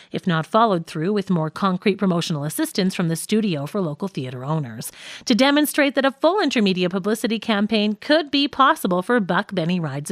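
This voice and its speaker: A woman reading a nonfiction audiobook as narrator, in a measured, articulate manner. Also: mid-range voice, no room sound, studio quality.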